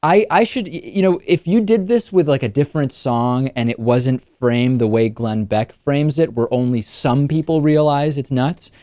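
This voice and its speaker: Guy hosting a politics show, average pitch, chatty commentary, no room sound. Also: moderate pace, hardly any pauses, warm tone, dry recording, studio quality. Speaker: political talk show host.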